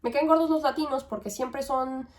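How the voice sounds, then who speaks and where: A young woman from Mexico with a Mexican accent, hosting a podcast and thinking aloud in a relaxed, conversational way with tag questions and fillers. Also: high voice, normal speed. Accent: Mexican Spanish. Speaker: podcast host. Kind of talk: reflective monologue.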